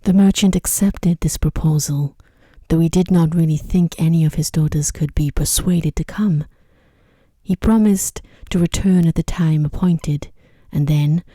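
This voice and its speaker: A woman narrating an ASMR sleep story in a soft, breathy voice, often near a whisper, close to the microphone. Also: lowish voice, dry recording.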